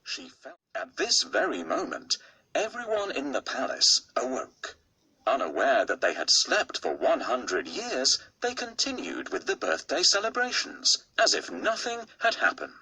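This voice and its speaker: A man with a gravelly, husky voice reads a bedtime fairy tale slowly and softly. His tone is bright and sharp, and his pitch moves across a very wide range. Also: huge pitch swings, low-quality recording. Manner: slow, soft storytelling. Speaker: narrator. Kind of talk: storybook read-aloud.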